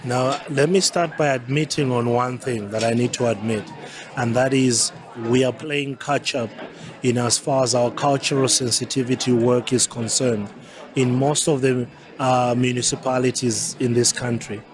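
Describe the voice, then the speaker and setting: A man in his forties from Zambia with a Southern African accent gives measured, formal answers as an interview guest. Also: medium pitch, fairly even intonation. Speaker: interview guest, climate change adaptation advisor. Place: busy festival venue. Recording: crowd chatter behind the voice.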